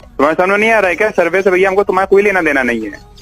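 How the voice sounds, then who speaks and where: Young male caller, slightly high and quick, over music. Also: expressive, fairly bright tone. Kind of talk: casual phone conversation. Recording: phone call with music bed.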